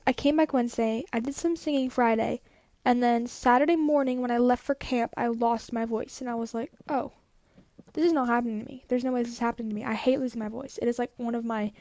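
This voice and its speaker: A woman's voice: fairly high pitch, dark tone, dry recording. A teenage girl telling a story in casual chat, rambling with lots of "like", slightly congested from a cold. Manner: rambling, many "like" fillers. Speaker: vlogger.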